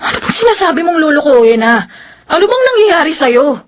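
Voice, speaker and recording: Casting: outspoken young woman, Filipino accent, high voice, colloquial and challenging radio drama delivery, slight background noise. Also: sing-song, a little fast. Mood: alarmed, angry. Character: outspoken young woman, teasing friend, quick to bristle.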